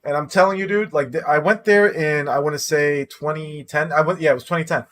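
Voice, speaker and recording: A man co-hosting a casual gaming podcast, telling stories in an animated way and reading out viewer messages. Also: on the high side, a little fast. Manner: animated storytelling, reads viewer messages aloud. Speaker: podcast co-host.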